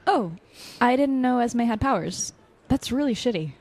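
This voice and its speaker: A woman's voice: a little high, lively intonation, moderate pace, a little mellow. A woman playing a chatty, frank young college student in casual audio drama dialogue. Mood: frank, sympathetic. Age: young adult.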